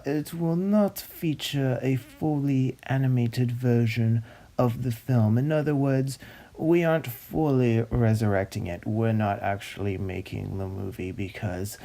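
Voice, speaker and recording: Casting: male snobby comic narrator, unhurried and droning, soft-edged dark timbre. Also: mid-range voice, creaky. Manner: droning, mock-pompous, deliberate. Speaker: host, narrator of a web series. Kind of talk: comic scripted narration and script reading.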